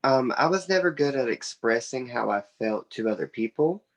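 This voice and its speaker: A man's voice: medium pitch, lively intonation, normal speed. A young man with a Southern US accent, talking casually and earnestly as an interview guest. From South Carolina, United States. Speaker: interview guest, author and musician.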